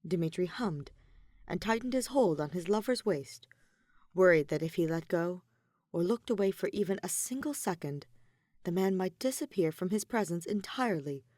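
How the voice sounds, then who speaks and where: A woman's voice: on the low side, expressive, normal speed, darkish tone, dry recording, clean studio sound. A woman reading scripted romantic fiction as a narrator. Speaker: podfic narrator.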